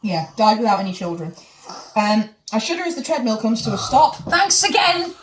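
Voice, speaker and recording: A woman's voice: fairly high pitch, very animated, normal speed. A woman reads a novel aloud and keeps breaking off to mock it with sarcastic, sweary asides. She is recorded from a distance in an echoey room. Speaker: reader of a novel. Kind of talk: mocking read-through with banter. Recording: echoey room, mic at a distance, faint noise.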